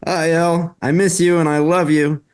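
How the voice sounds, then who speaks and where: A man's voice: fairly high pitch, flat delivery, moderate pace, a little mellow, smooth, close to an everyday voice. A man playing an affectionate, caring young boyfriend at a script table read. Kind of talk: amateur table read dialogue.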